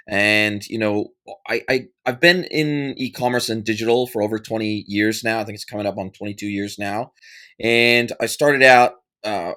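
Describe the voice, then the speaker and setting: A man's voice: on the low side, a bit bright. A man in his forties with an American accent, a podcast guest and consultant telling an informal story with many restarts and fillers. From United States. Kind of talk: conversational interview answer.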